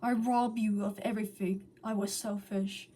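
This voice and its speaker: A woman voicing a remorseful hedgehog villain, a fallen beast confessing in anguish and halting phrases in a dramatic story reading. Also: medium pitch, steady tone, warm tone, recorded from a distance, natural voice. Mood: pleading.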